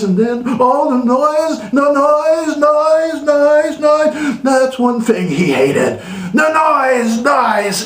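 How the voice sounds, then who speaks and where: A man reads a storybook with exaggerated drama. His fairly high voice swoops around and takes its time. The sound is roomy, a little distant from the microphone. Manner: theatrical, exaggerated emphasis. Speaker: co-host and story reader. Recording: roomy echo, distant mic.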